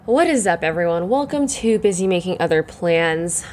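A young woman hosting her solo podcast, chatting in a rambling way full of fillers, her voice croaky and congested from the morning. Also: lowish voice, expressive, normal speed, a little mellow. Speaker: podcast host. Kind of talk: casual solo chat.

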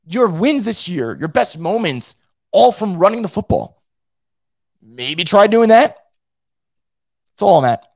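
A hoarse man who is losing his voice hosts a casual fan show and reads out the live chat, blunt and profane, with many restarts. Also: sits high, big pitch swings, measured pace, pauses often, darkish tone, no echo, studio quality. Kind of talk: casual post-game rant.

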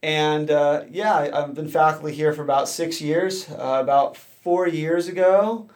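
A man from Southern California, a professor, answers interview questions at an even pace. His voice sits a little high, and he explains things steadily, with plenty of ums and uhs. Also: a little slow. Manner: steady and explanatory, with frequent fillers. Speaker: guest, associate professor.

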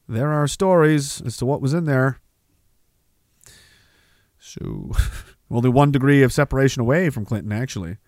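A man's voice: medium pitch, no echo, clean studio sound. A man hosting a livestream, reading chat comments and riffing on them sarcastically, often breaking into laughter. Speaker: livestream host.

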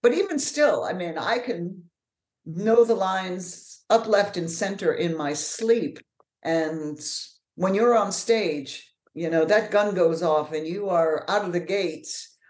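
A low-pitched woman speaks with a deeper voice, telling her story frankly and with plenty of energy. She is a guest in a casual interview. Her voice rings in an echoey room. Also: slow pace, a little mellow. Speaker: author and actor, interview guest.

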